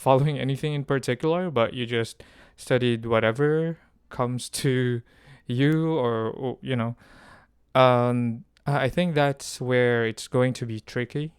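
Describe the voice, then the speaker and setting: Young man from the Philippines, vlogger, very clear dry voice, rambling stream-of-thought delivery. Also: mid-range voice, no room sound. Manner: rambling, many fillers and restarts. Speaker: language-learning vlogger. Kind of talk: unscripted stream-of-thought talk to camera.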